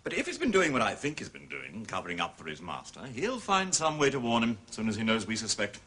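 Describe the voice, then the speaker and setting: An actor playing an authoritative, impatient senior police chief, brisk and commanding, in a British period detective drama. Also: male voice, fairly low pitch, huge pitch swings, sharp tone, full character voice. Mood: reasoning.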